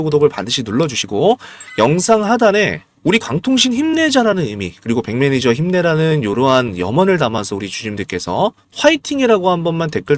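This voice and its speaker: A hoarse man analyzing stock charts as a channel host, emphatic and asking his viewers rhetorical questions. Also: on the high side.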